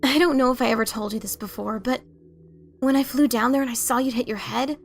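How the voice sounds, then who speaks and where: A woman voicing a brash, adventurous pegasus mare in a theatrical, expressive fan fiction reading. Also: fairly high pitch, a little fast, soft background music, natural voice. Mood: earnest. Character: brash adventurous pegasus mare, writer, bickering older sibling.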